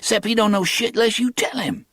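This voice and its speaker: A man voicing a streetwise young hustler who talks in quick, clipped street speech, animated and boastful in an audiobook reading. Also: sits high, no echo, clean studio sound, pitched above the performer's own voice.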